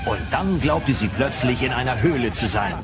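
A man's voice: mid-range voice, lively intonation, normal speed. A man narrating a science fiction radio drama in a scripted storytelling style. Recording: music under the voice. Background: loud dramatic music.

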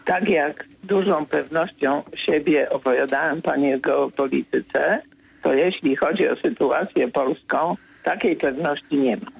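An older man, a political commentator, answering as a guest in a radio studio interview, hesitant with many fillers, then firm and brisk. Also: fairly high pitch, gravelly, slightly rough, rough recording.

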